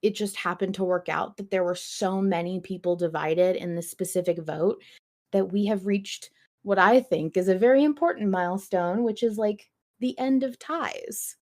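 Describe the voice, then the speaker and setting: A woman's voice: mid-range voice, normal speed, a little mellow. A woman hosting a podcast, talking casually in a chatty, explanatory way with some emphasis. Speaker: podcast host.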